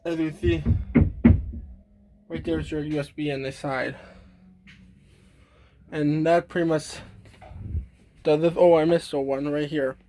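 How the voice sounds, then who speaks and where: A man giving a casual, halting, informal show-and-tell demonstration as a hobbyist. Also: fairly high pitch, fairly even intonation, background noise. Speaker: hobbyist demonstrating a radio collection.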